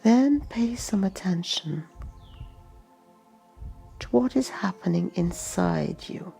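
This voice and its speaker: A woman leads a guided meditation in a very slow, soft-edged mellow voice. There is a noticeable creak in her tone, and she leaves space between short, gentle phrases. Faint music plays behind her. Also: medium pitch, expressive. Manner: gentle, unhurried instructions in short phrases with long pauses. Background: music and nature sounds.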